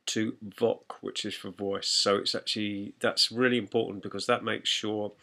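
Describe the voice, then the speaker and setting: Guy doing a music software tutorial, step by step, bright crisp-edged voice, kinda low. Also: slight background noise. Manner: instructional, step-by-step.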